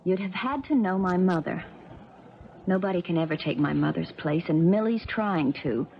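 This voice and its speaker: A woman's voice: lowish voice, lively intonation, normal speed, vocal fry. An actress playing a spoiled, scheming young rich woman, cold and insistent, in a 1970s radio drama. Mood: cool, stubborn. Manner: insistent, imperious. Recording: muffled, noisy old radio recording.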